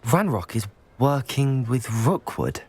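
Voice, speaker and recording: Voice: mid-range voice, big pitch swings, slow pace, a little mellow, husky, heavily acted. Earnest young student hero. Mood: wary. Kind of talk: cinematic video game acting.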